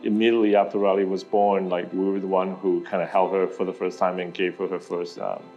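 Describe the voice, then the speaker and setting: Low-voiced guy from taiwan telling his story, chill and reflective, music in the back. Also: little pitch movement, a little fast, muffled recording. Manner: reflective, conversational. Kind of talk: personal story in a corporate video. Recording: soft music underneath.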